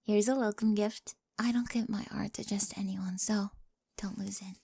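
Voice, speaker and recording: A young woman playing a quirky, awkward, talkative high school girl with a crush in an ASMR roleplay, rambling eagerly and often slipping into breathy whispers. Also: fairly even intonation, moderate pace, dark tone, slight background noise, lightly acted. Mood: playful, confiding. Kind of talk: scripted ASMR roleplay, addressed to the listener. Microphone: close, dry studio-style microphone.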